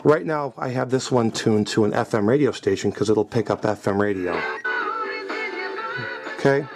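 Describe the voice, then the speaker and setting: A man's voice: lowish voice, moderate pace, music in the background. A middle-aged man giving an informal, conversational product demonstration in an explanatory way. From United States. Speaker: scanner hobbyist demonstrating his collection. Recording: noticeable background noise.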